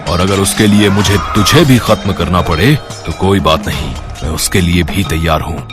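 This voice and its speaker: A man's voice: sits low, moderate pace, over music, close to an everyday voice. A man voicing an evil, vengeful sorcerer spirit and father in a dramatic story, taunting and domineering as he threatens. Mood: threatening. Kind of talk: dramatic Hindi storybook voice acting.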